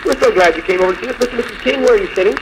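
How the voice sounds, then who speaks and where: A man's voice: very high voice, racing, noisy background. An American man hosting a live 1940s radio show, interviewing guests and announcing on an old broadcast recording.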